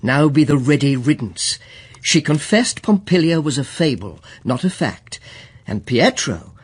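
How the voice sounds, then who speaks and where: Poetic storyteller for period drama: knowing, theatrical recitation in a slightly high, husky voice. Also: male voice, sing-song, unhurried, heavily acted. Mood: dramatic, relishing. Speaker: narrator.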